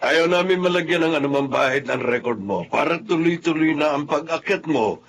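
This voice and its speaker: A man's voice: on the high side, lively intonation, brisk, a bit bright, a little hiss or hum. An actor playing a proud, jovial male relative in a Filipino radio drama. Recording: crowd chatter behind.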